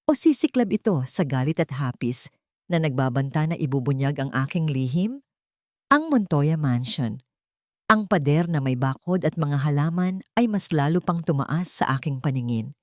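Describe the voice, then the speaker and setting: Low-pitched woman narrating a drama. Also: expressive, moderate pace, no room sound, studio quality. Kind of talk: dramatic first-person scripted story narration.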